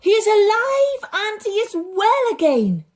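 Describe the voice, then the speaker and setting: Woman acting high, eager servant with glad news. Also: big pitch swings, measured pace, full character voice. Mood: joyful announcing. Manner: loud, eager, emphatic announcing. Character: male servants bringing glad news in a children's Bible story.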